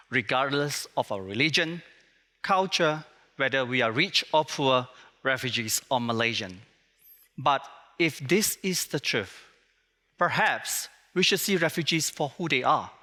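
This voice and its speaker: A man hosting, delivering measured, formal closing remarks. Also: medium pitch, bright, forceful tone. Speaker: host. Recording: long hall reverb.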